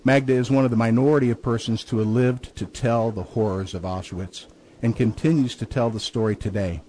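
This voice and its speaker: A man's voice: mid-range voice, fairly even intonation, fairly quick, warm tone. A man hosting a radio talk show, who introduces his guest earnestly and stumbles and repeats himself when he speaks freely. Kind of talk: radio host introduction and chat.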